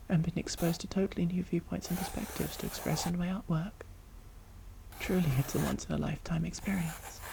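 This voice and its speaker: A performer voicing a shy, polite vampire artist in a soft-spoken, breathy ASMR roleplay, hesitant and gently formal, often close to a whisper. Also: medium pitch, low-quality recording.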